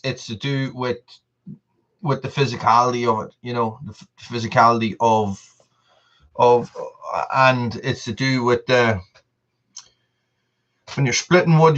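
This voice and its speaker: A man's voice: mid-range voice, fairly bright tone, highs cut off. A man, hosting a casual live stream and chatting to viewers. He rambles, repeats himself and laughs often. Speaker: channel host on a live stream.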